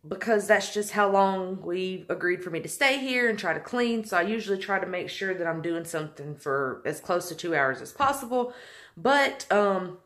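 A woman's voice: medium pitch, fairly bright tone. Young woman chatting casually in her kitchen. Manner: chatty, rambling with many ums. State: hot and sweaty. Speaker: vlogger. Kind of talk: casual cleaning vlog chat. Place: home kitchen. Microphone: phone camera close up.

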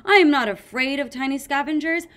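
A woman voicing a sweet, trusting young female dragon who hams it up as a boastful queen, loud and high. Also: fairly quick. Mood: fierce. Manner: hammy, playing a boastful queen.

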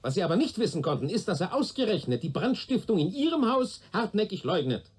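An actor playing a shrewd, patient police investigator in a German radio play, probing and deliberate, with a dry sardonic authority. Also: male voice, high-pitched, very animated, husky, full character voice. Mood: stern, warning. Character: shrewd, patient male police detective questioning a young man.

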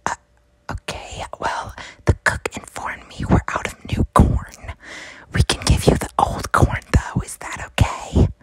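A woman playing a timid, flustered, polite young waitress, hesitant and breathy, in a comedy ASMR roleplay. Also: dry recording, close to an everyday voice, rough recording. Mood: timidly polite. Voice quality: breathy whisper. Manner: hesitant, stammering, slow.

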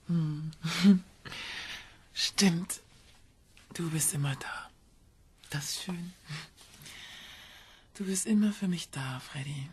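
An actress playing a doting, eerie middle-aged mother in a crime radio drama, breathy and half-whispering. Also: fairly low pitch, a little slow, dark tone, slight background noise. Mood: tender.

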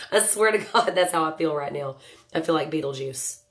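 Low nasal woman with a cold. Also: moderate pace, fairly bright tone. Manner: chatty, joking, greets viewers by name. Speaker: makeup livestream host. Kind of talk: casual live makeup tutorial chat. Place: small home room indoors.

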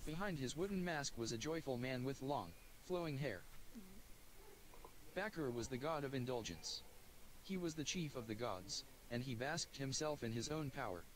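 A computer-generated male voice narrating a visual novel in a flat, even reading. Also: medium pitch, moderate pace, a little hiss or hum, low-quality recording. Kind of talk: text-to-speech game narration.

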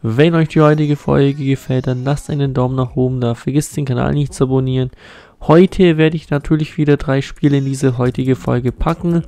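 A man's voice: medium pitch, steady tone, normal speed, warm tone, no echo. A man with a cold and a blocked nose, commenting on a football video game he is playing, recorded on a USB condenser mic at home. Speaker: gaming YouTuber.

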